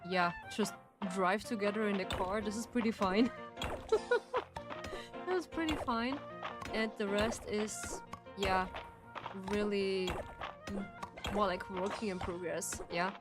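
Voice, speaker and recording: A young woman from Turkey streams a game and talks in a slow, unhurried way, often restarting her sentences as she thinks out loud. Her pitch sits in a middle range, and her English carries a first-language feel. Loud game music plays behind her the whole time. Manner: chatty, halting, thinks aloud with many restarts. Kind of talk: casual gameplay commentary.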